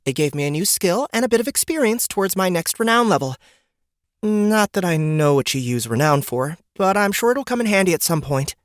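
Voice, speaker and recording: A woman's voice: lowish voice, fairly quick, no echo, clean studio sound, natural voice. An actress playing a sly, upbeat wife and mother in a fantasy audiobook, with a playful delivery. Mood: pleased, teasing. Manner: playful, animated. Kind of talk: dramatic audiobook character dialogue.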